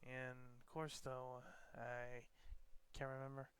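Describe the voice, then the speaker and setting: A man's voice: mid-range voice, monotone, slow pace. A man giving a casual, rambling, offhand introduction as host of a gaming video. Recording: loud background noise, clicking.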